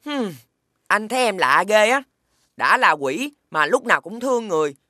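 A woman voicing a bossy older demon brother, chiding and devoted to wickedness, in an animated fairy tale. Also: medium pitch, normal speed, no room sound, clean studio sound.

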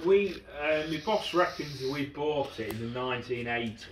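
A man's voice: medium pitch, lively intonation, normal speed, echoey room, far from the mic, heavy background noise. A man casually explaining, heard in a workshop. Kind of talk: casual chat.

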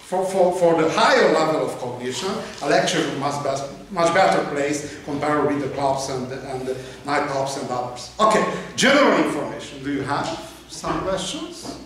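A man in his fifties with a Hungarian accent, giving a university lecture in halting English full of fillers, heard from a camera at the back of a large lecture hall. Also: a little high, lively intonation, fairly bright tone. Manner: halting non-native English with many uh fillers, gesturing while pacing. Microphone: far camera. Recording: echoey lecture hall, distant mic.

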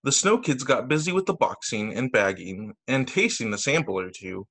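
Expressive male teacher reading a children's story. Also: medium pitch, normal speed. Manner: expressive, sing-song storytelling for young children. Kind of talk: children's story read-aloud. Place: indoors, on a video call. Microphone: video call microphone.

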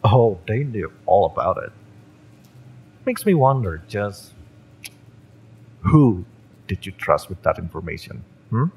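A man playing a fiery, spurned mercenary ex-bodyguard in an audio roleplay, mocking and drawling with menacing intimacy and dramatic pauses. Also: lowish voice, big pitch swings, gravelly, darkish tone, slight background noise, full character voice. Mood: suspicious, taunting. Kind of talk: scripted audio roleplay addressed to the listener.

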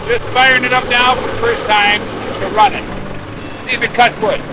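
A man's voice: very high voice, level tone, moderate pace, loud music behind the voice. A middle-aged man running a sawmill outdoors, answering with terse, dry jokes. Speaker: sawmill operator. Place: outdoor lumber yard.

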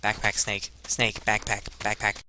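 A young man hosts a casual gameplay video in a very bright, forceful voice. He talks slowly and haltingly, rambling and muttering to himself. Faint noise sits behind the voice. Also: on the low side, monotone. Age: young adult. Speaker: let's play host.

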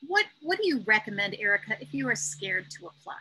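Brief: woman moderator on a video call, bright tense tone, supportive. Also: mid-range voice, sing-song, slight background noise, rough recording. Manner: supportive, halting with restarts. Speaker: university staff moderator. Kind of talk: webinar moderation.

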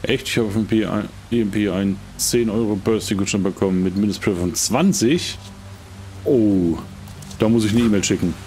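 A man's voice: on the low side, moderate pace, a little mellow, faint music behind. A middle-aged man streaming a game, chatting casually in a rambling, jokey way as he plays. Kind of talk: casual chat while playing.

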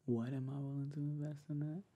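A young man doing an intimate ASMR roleplay, talking softly and casually in a halting, laid-back way, with a breathy voice. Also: on the high side, flat delivery, slight background noise. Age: young adult. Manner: hesitant, halting, laid-back pillow talk. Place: small bedroom, lying on a bed.

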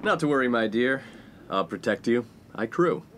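A man's voice: mid-range voice, expressive, normal speed, a bit bright, a little hiss or hum, lightly acted. An actor playing a smug, spoiled, lecherous 1950s college man in a comedic radio play, talking in a pompous, mock-philosophical way. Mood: suave, boastful. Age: young adult.

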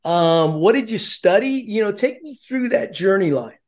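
A man's voice: high-pitched, animated, moderate pace, darkish tone. A man in his fifties hosting an interview over a video call, affirming his guest eagerly and asking long, rambling questions. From born in Germany. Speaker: podcast host. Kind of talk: casual interview chat. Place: home office with shelves of sports helmets.

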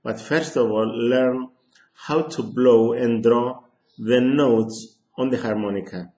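Slow older Greek-accented man teaching. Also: medium pitch, steady tone, dark tone, reverberant. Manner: slow, hesitant, many ums and restarts. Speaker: harmonica teacher. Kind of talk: casual lesson to camera. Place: small room indoors. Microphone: webcam at close range.

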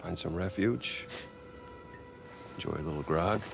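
An American actor playing a stubborn, sardonic middle-aged treasure hunter and older brother in a video game, with a gravelly voice. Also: male voice, sits low, a little slow, background noise. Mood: relaxed, reflective. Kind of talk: naturalistic cinematic game acting.

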